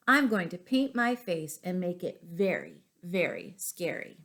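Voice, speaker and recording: A woman's voice: fairly low pitch, big pitch swings, unhurried, fairly bright tone, exaggerated voice. A woman voicing a mischievous little boy trick-or-treater in a children's storybook read-aloud. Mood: eager, boastful.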